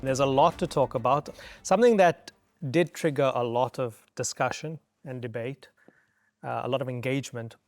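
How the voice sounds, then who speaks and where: A man hosting a group discussion, playful and quick to laugh as he moves between speakers. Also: medium pitch, soft background music. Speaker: host. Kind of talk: moderating a discussion.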